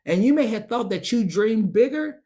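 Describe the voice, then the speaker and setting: A woman giving a tarot reading to her viewers, slangy and profane, preaching with loads of enthusiasm and a voice that swings high and low. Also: lively intonation, normal speed, warm tone. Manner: talks straight to viewers as a group. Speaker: tarot reader. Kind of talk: casual tarot reading to camera.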